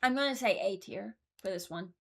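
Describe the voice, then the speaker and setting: A woman's voice: medium pitch, fairly quick, vocal fry, fairly bright tone. A woman giving casual, rambling commentary as a host, thinking aloud as she goes. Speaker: host ranking game voice lines.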